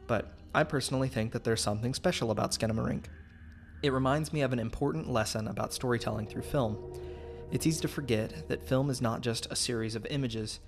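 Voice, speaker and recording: A man narrates a scripted video essay with noticeable vocal fry. His delivery is measured and explanatory, laying out each point clearly at an ordinary male pitch.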